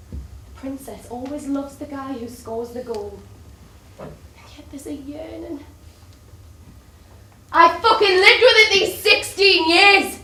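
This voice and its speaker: A desperate, bitter woman in a stage drama, speaks slowly in a high, cutting voice. She is volatile and sardonic. The sound is roomy and distant. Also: bright, forceful tone, full character voice. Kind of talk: stage play acting. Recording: roomy, distant stage recording with faint noise.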